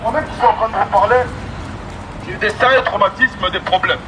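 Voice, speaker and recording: A man's voice: sits high, a little fast. A man preaching an exhorting, emphatic street sermon through a megaphone, returning to key phrases again and again. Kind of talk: street sermon, open-air evangelism. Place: outdoors on an urban street. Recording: amplified megaphone, loud outdoor street noise.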